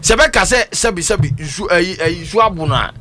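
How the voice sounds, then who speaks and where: A man's voice: high-pitched, lively intonation, moderate pace, crowd noise. A Ghanaian man, a native Twi speaker, discussing news casually in an emphatic, repetitive way.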